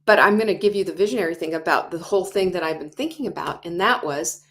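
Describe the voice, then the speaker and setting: A woman in her sixties hosting a motivational talk show, animated, acting out quoted inner voices and dropping to whispers. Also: on the low side, fast talker. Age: 60s. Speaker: host.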